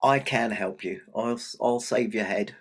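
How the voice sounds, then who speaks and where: A man's voice: medium pitch, measured pace, natural voice. A man voicing a shrewd, helpful old peasant woman in a told folk tale.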